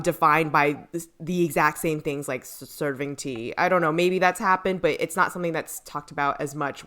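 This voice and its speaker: A woman's voice: on the low side, a bit bright. A woman co-hosting a podcast, giving her opinions in an informal, casual chat and qualifying them as she goes. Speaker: podcast host.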